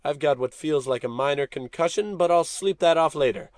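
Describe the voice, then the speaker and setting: An actor playing a pompous, gung-ho American space captain in a parody of old radio adventure serials, declaiming orders with heroic self-importance. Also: male voice, fairly high pitch, a little fast, no room sound, clean studio sound, natural voice. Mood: pompous command.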